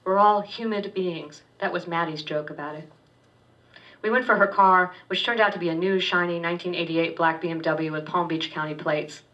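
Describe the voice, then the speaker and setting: A woman author reads her fiction aloud with a steady, wry delivery and the odd stumble. Her voice is bright and slightly low, captured far from the mic on a dull, lo-fi recording. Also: normal speed. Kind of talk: literary reading of first-person fiction. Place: public reading at a university poetry center.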